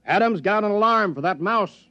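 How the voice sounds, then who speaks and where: A harried government chief, quick and brisk in a mid-range voice. The old radio drama recording is muffled and quite noisy. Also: male voice, high voice, animated. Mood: brisk, uneasy. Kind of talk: 1950s radio drama acting.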